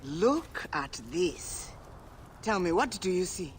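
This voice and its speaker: An actor voicing a clever, nervous antiquarian and gambler who speaks in a bookish, measured way. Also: male voice, sits high, sing-song, full character voice. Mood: fascinated. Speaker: game character. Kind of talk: video game cutscene acting. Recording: game audio with faint ambient noise.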